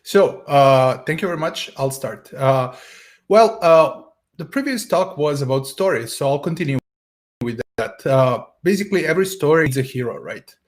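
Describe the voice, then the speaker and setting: A man's voice: fairly high pitch, lively intonation, moderate pace. A man from Serbia, an English speaker, giving a conference talk over a video call in a storytelling way with frequent hesitations. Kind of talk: tech conference presentation.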